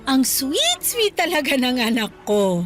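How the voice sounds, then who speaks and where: A woman's voice: sits high, huge pitch swings, a little slow, full character voice. A woman playing a loving, hardworking middle-aged mother in a Filipino radio drama, with an affectionate, motherly manner. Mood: affectionate, teasing. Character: loving, teasing, hardworking eatery-worker mother.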